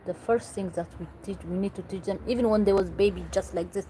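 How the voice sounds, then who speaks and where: A woman's voice: medium pitch, fast talker, warm tone, slight background noise. A woman from Eritrea, earnestly giving parenting advice in English and repeating herself for emphasis. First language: Tigrinya or other Eritrean language. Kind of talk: earnest talk to camera.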